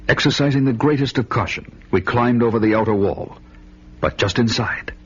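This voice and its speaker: A man's voice: medium pitch, normal speed, slightly rough, close to an everyday voice. An actor playing a grim, bold barbarian warrior who tells his vengeance quest in a solemn, archaic, theatrical way for a fantasy radio drama. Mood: eager. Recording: dull radio recording with loud music.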